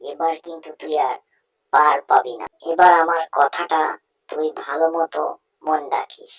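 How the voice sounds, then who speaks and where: A woman's voice: low voice, fairly even intonation, normal speed, poor audio. A woman voicing a stern male village moneylender in a cartoon story, menacing and domineering. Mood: threatening. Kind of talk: Bengali cartoon story dubbing.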